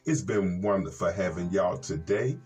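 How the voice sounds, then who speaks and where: An American man, a reverend hosting a Bible program, reads scripture and commentary in a measured, earnest way with folksy asides. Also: low-pitched, a little mellow. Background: plucked guitar music.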